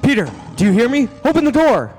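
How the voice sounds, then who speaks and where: A man's voice: very high-pitched, big pitch swings, fast talker, a little mellow. A man playing a stern, exasperated father of two in an old-time radio drama, speaking emphatically. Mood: panicked shouting.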